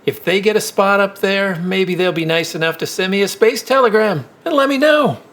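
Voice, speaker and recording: An actor playing a kindly older company employee in an audio drama, folksy, wry and a little hesitant. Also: male voice, high voice, normal speed, fairly bright tone. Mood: wry, friendly. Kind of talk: naturalistic audio drama dialogue.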